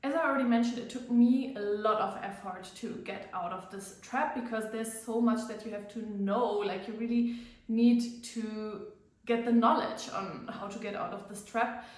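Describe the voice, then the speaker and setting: A woman's voice: a little high, fairly even intonation, moderate pace. A woman with a German accent, a native German speaker, chatting casually to camera as a fitness vlogger, with a self-conscious, filler-filled manner. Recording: very echoey room.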